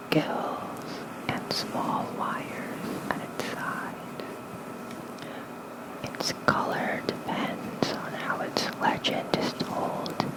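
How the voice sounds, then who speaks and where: An ASMR host gently whispering mythology lore like a bedtime reading, close to a fluffy-covered microphone. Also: poor audio.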